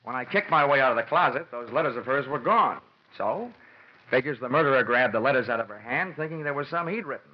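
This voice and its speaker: A man's voice: medium pitch, expressive, fast talker, close to an everyday voice. A man playing a wry, world-weary insurance investigator in a classic radio detective drama, with dry, slangy delivery. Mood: dry, assured. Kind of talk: classic radio detective drama acting, hard-boiled narration. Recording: muffled old radio recording with music.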